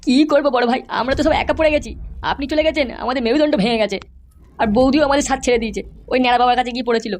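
A man's voice: extremely high pitch, rapid-fire. A man voicing a grumbling, crude but loyal gang underling in a comedy cartoon. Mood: defensive.

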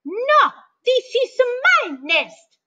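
Woman as storybook chicken, female, indignant, excitable, dramatic, high and bright. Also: extremely slow, muffled recording, full character voice. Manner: exclaiming, dramatic.

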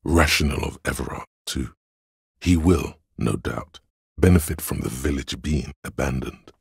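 An actor voicing a cool, analytical inner voice of logic in a detective game, narrating in a measured, dryly wry way. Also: male voice, rumbling low, vocal fry, breathy edge, dry recording, studio quality, heavily acted. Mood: wry, calculating. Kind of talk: scripted second-person game narration.

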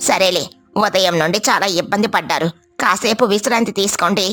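Cartoon crow neighbour, acted by a woman, female, lowered pitch, kindly, easygoing, matter-of-fact, music underneath. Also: brisk, a bit bright, natural voice. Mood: reassuring.

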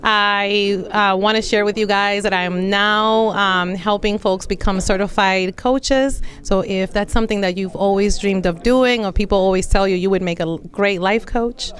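A woman's voice: medium pitch, fairly even intonation, normal speed, few pauses. A woman in her forties, a life and business coach, speaking earnestly with many fillers into a handheld mic at an indoor event. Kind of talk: event interview testimonial. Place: indoor event room against a plain wall.

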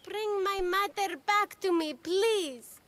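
A pleading little child in a game drama. The voice is extremely high, with loud noise behind it. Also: slow pace. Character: small, pleading young child. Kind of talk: video game cutscene acting.

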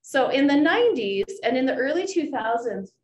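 A woman professor lectures from her slides, explaining her points with frequent ums and self-corrections. Her voice sits a little high. The sound is roomy, with a faint background hiss from a camera at the back. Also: very animated, moderate pace. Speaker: political science professor. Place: lecture room with projector screen. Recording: echoey room, faint background noise.

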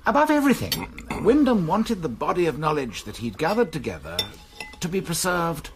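An actor playing a precise, scholarly college librarian in a radio drama. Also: male voice, on the high side, very animated, normal speed, slight background noise. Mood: informative. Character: Crispin, fussy male college librarian.

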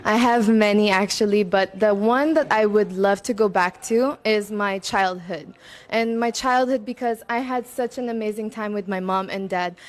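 A woman's voice: medium pitch, normal speed. A woman gives chatty, earnest pageant interview answers into a stand microphone, mixing in Tagalog words. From Philippines and Macau. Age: twenties. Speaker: beauty pageant contestant. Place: open-sided veranda outdoors.

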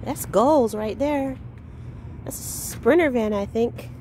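Slow-talking woman vlogging from her van. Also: on the high side, darkish tone, slight background noise. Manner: chatty, unhurried, thinking aloud with fillers. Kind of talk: casual vlog narration. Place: inside a parked van.

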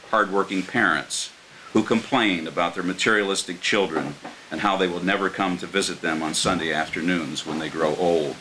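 An older American man reading his poetry live at a microphone, in a measured, earnest way. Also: low voice, pushed and bright, low-quality recording. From Martins Ferry, Ohio, United States. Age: older, around 60. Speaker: poet reading his own work. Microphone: microphone on a stand. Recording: clear background hiss.

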